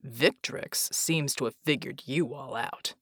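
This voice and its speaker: A woman voicing a world-weary male mercenary who talks bluntly in a low, gravelly drawl. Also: slow pace, fairly bright tone, no echo, clean studio sound, exaggerated voice. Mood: gruff.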